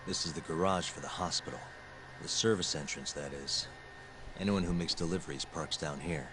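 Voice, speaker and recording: An actor playing a calm, measured private detective in video game drama dialogue. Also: male voice, extremely low pitch, noisy background. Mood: matter-of-fact. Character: calm, cool male private detective, adult.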